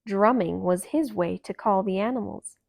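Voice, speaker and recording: A woman reading a children's story aloud in a calm, expressive and gentle narration. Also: mid-range voice, normal speed, creaky. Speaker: storybook reader.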